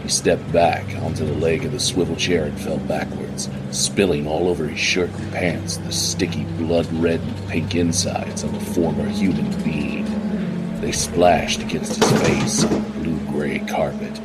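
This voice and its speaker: A man narrating a noir radio play in a steady, measured way. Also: extremely low pitch. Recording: loud background noise.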